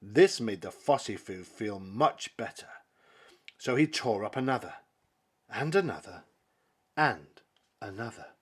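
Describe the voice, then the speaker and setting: A male storyteller reads a picture book in a dramatic, theatrical style. His slightly husky voice rises and falls widely, and he takes his time, pausing for emphasis between phrases. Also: on the low side, expressive. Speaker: storybook reader. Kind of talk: dramatic children's story narration.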